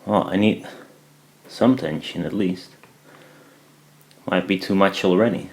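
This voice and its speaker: Low-voiced man muttering over noisy recording. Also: measured pace, darkish tone. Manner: hesitant, muttering to himself while working. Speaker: DIY maker. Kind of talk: casual hands-on workshop commentary. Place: indoors at a home workbench. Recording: clear background noise, clicks of handling. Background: noise and clicking.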